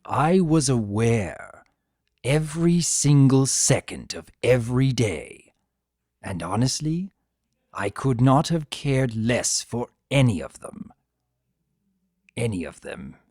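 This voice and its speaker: A man voicing an urbane but sullen and volatile male spirit of chaos in a fantasy story, with theatrical, drawn-out, emphatic delivery. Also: medium pitch, big pitch swings, darkish tone, dry recording, clean studio sound. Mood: bitter. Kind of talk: dramatic fan fiction reading.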